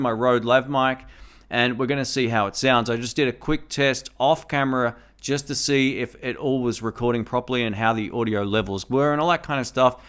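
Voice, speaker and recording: A man talks through a product review in a relaxed, enthusiastic way. He speaks into a tabletop condenser microphone in a room at home, explaining each step as he goes. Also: medium pitch, clean tone. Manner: speaks at varying loudness for gain tests. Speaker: tech reviewer. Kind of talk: casual product review to camera. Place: home room with a fridge nearby.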